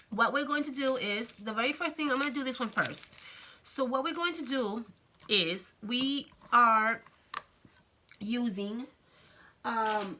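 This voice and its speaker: A woman hosting a casual crafting tutorial, chatty and friendly, her voice slightly raspy from a cold. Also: a little high, sharp tone, slight background noise. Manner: chatty, friendly, many fillers and "okay?" check-ins. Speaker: craft channel host.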